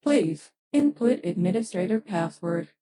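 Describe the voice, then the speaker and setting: A man voicing an impersonal computer-like entity in an audio drama, speaking in a flat, formal manner. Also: high voice, unhurried, pitched above the performer's own voice, poor audio. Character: emotionless computer-like voice demanding a password.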